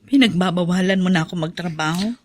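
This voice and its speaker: A woman's voice: fairly low pitch, fast, a little mellow, a little hiss or hum, close to an everyday voice. An actress playing an ailing, self-blaming middle-aged mother in a Filipino radio drama, sorrowful and apologetic. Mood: weary, resigned.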